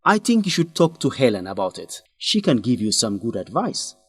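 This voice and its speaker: An actor playing an earnest, principled young man who urges his friend in a radio drama, with an animated, scolding manner. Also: medium pitch, normal speed, breathy edge, faint music behind. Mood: pleading, scolding. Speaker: friend and fellow researcher.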